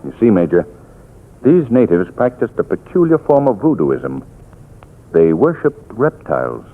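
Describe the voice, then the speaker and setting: An actor playing a suave, thoughtful gentleman telling a tale in a 1940s radio drama. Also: on the low side, sing-song, measured pace, exaggerated voice. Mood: measured storytelling. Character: suave, thoughtful gentleman storyteller, calm and worldly. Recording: tinny 1940s radio recording.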